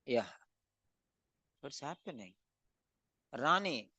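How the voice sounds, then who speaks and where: A man teaching an online speaking class over a video call, briskly calling on students by name for feedback. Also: mid-range voice, animated, bright, forceful tone, breathy edge, no echo.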